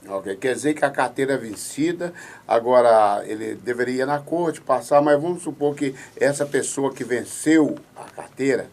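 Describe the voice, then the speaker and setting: A man's voice: medium pitch, moderate pace, darkish tone. A man hosting a radio show, reading listener questions and politely laying out hypothetical cases. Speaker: radio host.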